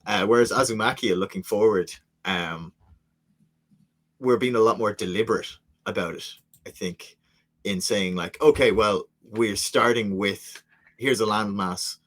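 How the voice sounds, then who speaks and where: A man's voice: fairly low pitch, measured pace, a bit bright. A man co-creating a fiction podcast, chatting casually and rambling with many fillers. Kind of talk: casual chat.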